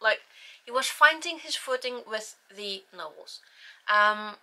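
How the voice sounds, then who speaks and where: A woman's voice: on the high side, expressive, measured pace, bright, forceful tone. A young woman from Iceland with an Icelandic accent, chatting casually and a little self-deprecatingly about books she has read, with frequent restarts. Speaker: book channel host. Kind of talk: casual book review vlog.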